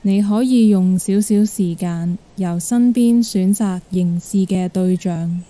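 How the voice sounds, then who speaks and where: A woman with a Hong Kong Cantonese accent guiding a meditation in a gentle, calm manner. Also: on the low side, dry recording. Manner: gentle, calm, unhurried instruction. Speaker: guided meditation instructor. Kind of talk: guided meditation narration.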